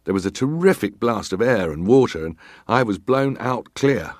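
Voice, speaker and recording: Deep-voiced, stoic ship's officer, played by a man. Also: lively intonation, normal speed, dry recording, clean studio sound, close to an everyday voice. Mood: grave recounting. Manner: clipped, matter-of-fact, formal. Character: stoic, terse male ship's officer testifying as a survivor. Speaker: actor reading testimony. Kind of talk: dramatized reading of hearing testimony.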